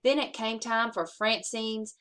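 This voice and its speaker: A woman reading a children's story aloud in an expressive, animated way, acting out the dialogue with emphasis. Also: a little high, a little slow. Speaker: assistant principal reading aloud to schoolchildren.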